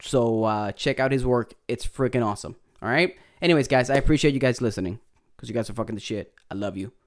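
A man's voice: medium pitch, fast. A man with a Puerto Rican accent hosting a podcast, rambling casually with swearing, restarts and Spanglish. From Puerto Rico. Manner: rambling, profane, laughs often, restarts, mixes Spanish into English. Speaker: podcast host. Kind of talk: casual podcast intro and chat.

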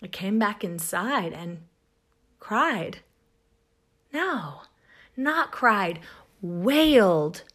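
An actress playing an exasperated, confiding woman in a comic first-person story monologue, with wry emphasis and dramatic pauses. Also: medium pitch, big pitch swings, a bit bright, heavily acted. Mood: wistful, sad. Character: exasperated, wryly confiding woman plagued by monsters and heartbreak. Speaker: actress reading a short story.

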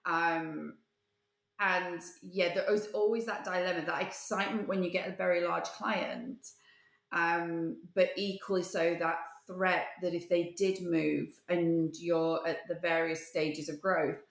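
A woman CEO answering interview questions over a video call, measured and articulate with frequent pauses. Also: lowish voice, steady tone, reverberant. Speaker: company CEO, guest.

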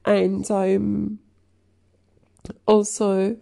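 Sniffly woman, slow and gravelly. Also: medium pitch, monotone, dark tone. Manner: halting, many fillers, keeps clearing throat. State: sniffly from crying. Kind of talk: casual rambling chat.

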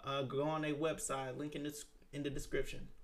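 High-voiced man hosting a podcast. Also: a little fast, slight background noise. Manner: animated, laughs often, swears casually. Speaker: podcast host. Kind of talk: casual podcast chat.

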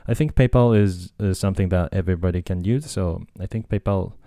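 A Japanese man talks alone in a relaxed, meandering way, stopping and restarting his sentences often. His voice sits very low and sounds soft and mellow. The recording is close and dry, with no room sound. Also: brisk, no echo. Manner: rambling, hesitant, many fillers and restarts. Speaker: podcast host and language tutor. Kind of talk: casual solo talk.

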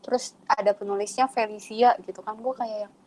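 Relaxed young woman host. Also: medium pitch, a little fast, rough recording. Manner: relaxed, teasing, mixes in English words. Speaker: podcast host. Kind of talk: casual podcast chat.

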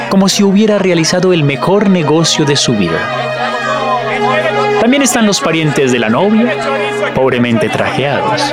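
A man delivers scripted dramatic narration in deliberate phrases. His voice is fairly high and theatrical, swinging widely in pitch over loud music.

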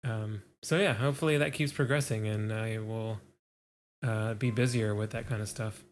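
A young man co-hosting a casual podcast chat, relaxed and laid-back, with lots of fillers and chuckles. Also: lowish voice, moderate pace, a little hiss or hum. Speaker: podcast co-host.

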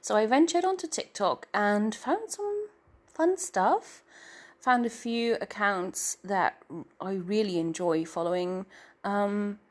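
Slow, nasal middle-aged woman rambling. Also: mid-range voice, expressive. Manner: meandering and self-deprecating, trailing off into filler. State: stuffy-nosed. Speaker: writer and story narrator. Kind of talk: rambling casual personal update.

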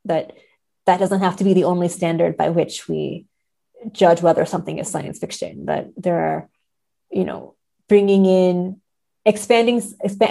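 Low-voiced woman with a gravelly creak. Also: mellow. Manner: thoughtful, frequent hedging and tag questions. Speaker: podcast guest, literature scholar. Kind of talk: conversational literary discussion.

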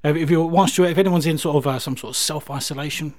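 A man's voice: a little high, fast talker, darkish tone. A man hosting a music radio show, chatting casually and enthusiastically, with hesitant, repetitive phrasing.

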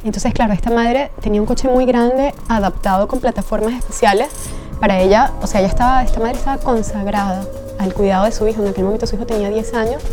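A woman's voice: fairly high pitch, fairly even intonation, fast talker, darkish tone, faint music behind. A woman from Venezuela, a guest telling her life story in an earnest, reflective way. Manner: reflective, earnest, searching for words. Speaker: guest, train driver. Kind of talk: personal testimony in an interview.

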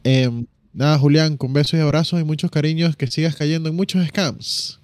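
Teasing man, slightly high voice. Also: no echo. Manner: relaxed, teasing, drawn-out storytelling. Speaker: podcast co-host. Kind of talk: casual chat.

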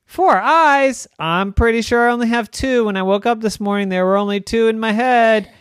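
A storyteller voicing a clever, teasing little girl, in a high, bouncy, playful voice. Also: moderate pace, clean tone, no room sound, studio quality, natural voice. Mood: excited.

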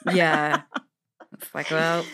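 A young woman, co-hosting a podcast in an animated, giggly casual chat. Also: low voice, very slow, clean tone. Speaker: podcast co-host.

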